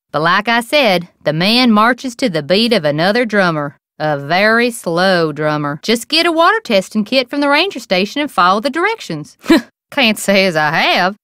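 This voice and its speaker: A woman's voice: mid-range voice, fairly bright tone, clear, resonant tone, no echo, clean studio sound. A woman voicing a gossipy small-town bait shop keeper in a video game, with a rural American accent and a wry, opinionated, drawling manner. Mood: chatty. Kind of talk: video game character dialogue.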